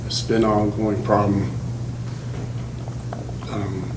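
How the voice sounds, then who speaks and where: A man making an unscripted statement to a judge at a courtroom podium, halting and plain-worded. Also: fairly low pitch, flat delivery. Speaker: fiance addressing the court. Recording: noisy courtroom recording.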